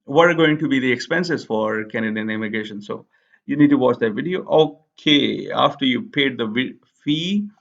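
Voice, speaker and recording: A man's voice: medium pitch, animated, moderate pace, creaky. Young man, casual explainer, "okay" fillers, small room, lo-fi desk mic. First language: Urdu. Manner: repeats "okay", fills with "uh", explains step by step. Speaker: immigration explainer. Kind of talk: casual screen-share tutorial. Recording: slightly dull lo-fi sound.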